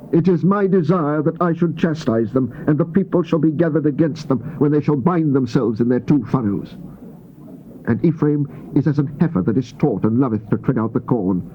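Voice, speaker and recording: Stern male prophet, played by an actor, mid-pitched, solemn declamatory scripture delivery for dramatized Bible, muffled noisy tape. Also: natural voice. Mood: stern exhortation. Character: stern male Old Testament prophet proclaiming God's judgment. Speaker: scripture reader. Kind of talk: dramatized King James Bible reading.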